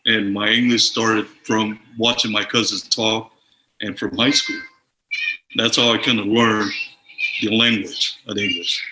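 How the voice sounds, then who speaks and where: Bright-voiced man telling stories. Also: fairly low pitch, measured pace. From Samoa. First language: Samoan. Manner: chuckling, lively anecdote telling. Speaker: guest sharing memories. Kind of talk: casual storytelling. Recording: echoey room with faint noise.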